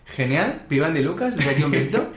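A man's voice: a little high, sing-song, fast talker. A man with a Castilian Madrid accent chatting casually as a podcast co-host, telling anecdotes in a playful, campy, emphatic way. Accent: Castilian Spanish from Madrid area. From Spain, Madrid region. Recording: roomy, echoing indoor sound.

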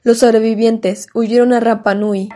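A female narrator for a scripted radio drama. Her voice is mellow and slightly high. Faint music plays behind her. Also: level tone, a little slow.

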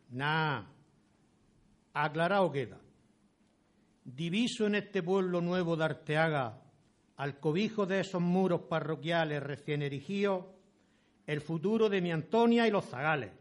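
A man's voice: fairly high pitch, measured pace, pauses often, natural voice. A man playing a humble, determined peasant settler in a radio play, with rustic Murcian Spanish and a declamatory delivery. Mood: resolute.

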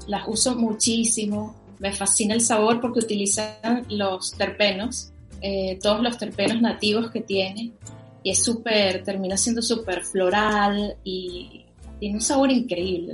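A young Venezuelan woman with a Venezuelan accent, chatting enthusiastically as a guest on a podcast, with lots of fillers. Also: medium pitch. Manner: enthusiastic, many fillers, animated. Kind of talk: casual podcast interview.

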